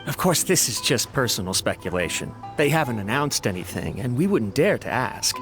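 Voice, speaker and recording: A chatty, polite young man, played by a man who speaks cautiously, as if careful not to overstep. His mid-pitched voice moves in lively swoops. Game music plays behind him. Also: moderate pace, lightly acted. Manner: cautious, conversational. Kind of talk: video game cutscene dialogue.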